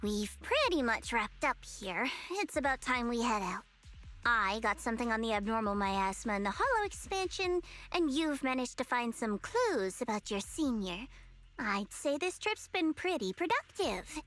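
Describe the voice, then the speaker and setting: A woman's voice: fairly high pitch, moderate pace, soft background music. A woman voicing a sly, self-assured young investigator in a game, talking in a teasing, knowing way. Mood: brisk. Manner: teasing, knowing, lively delivery.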